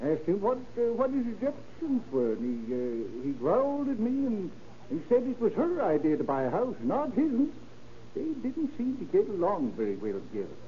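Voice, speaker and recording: An actor playing a hesitant, flustered witness in an old radio crime drama, halting and stammering with many uhs. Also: male voice, high-pitched, huge pitch swings. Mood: nervous, hesitant. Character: hesitant, flustered middle-class man who shows houses. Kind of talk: 1930s radio acting. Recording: muffled, noisy old radio recording.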